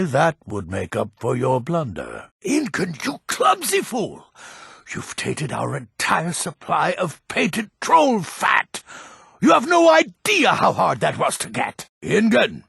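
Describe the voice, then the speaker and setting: Gravelly, crotchety old alchemist. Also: male voice, fairly high pitch, wildly swooping pitch, a little slow, a bit bright, no echo, studio quality, full character voice. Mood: scolding, exasperated. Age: older. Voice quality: gravelly, rasping. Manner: crotchety, curt, exasperated. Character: grumpy, pessimistic old male alchemist and shopkeeper. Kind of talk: fantasy video game character acting.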